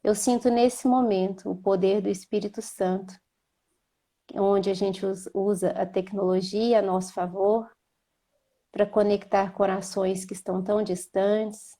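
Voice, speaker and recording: Mellow-voiced woman from Minas Gerais. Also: medium pitch, level tone. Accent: Minas Gerais Portuguese. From Minas Gerais, Brazil. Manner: slow, gentle and devout when praying. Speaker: host. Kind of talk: casual chat and spoken prayer on a live video call. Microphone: video call.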